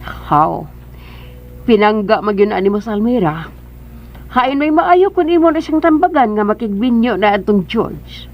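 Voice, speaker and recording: A woman's voice: mid-range voice, big pitch swings, a little slow, darkish tone. A woman acting a suspicious, insistent bride-to-be in a radio drama, with a Cebuano Filipino accent. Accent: Filipino, Cebuano-speaking. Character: suspicious, insistent bride-to-be questioning her man. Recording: dull band-limited radio drama audio.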